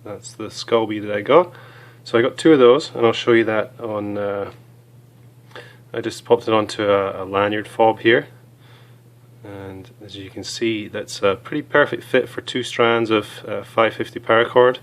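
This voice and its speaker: A hoarse man with a cold, chatting casually as he reviews small gear items, with many fillers. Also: on the low side, fairly even intonation, moderate pace.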